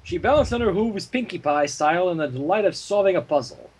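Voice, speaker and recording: A man narrates fan fiction expressively. His voice is fairly high with a husky grain and very lively intonation. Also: fairly quick.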